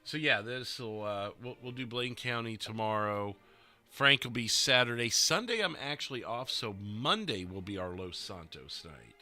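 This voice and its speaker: A man chatting casually with his stream viewers, his voice a little rough and stuffy, rambling and laughing often. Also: medium pitch, animated, unhurried, sharp tone, soft background music. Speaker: livestreamer playing a roleplay dispatcher.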